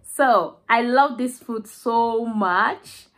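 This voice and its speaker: A young Nigerian woman whose first language is Igbo, filming a mukbang eating vlog and humming slowly with pleasure between bites. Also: a little high. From southeastern Nigeria. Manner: slow, savoring, with drawn-out hums between bites. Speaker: food vlogger.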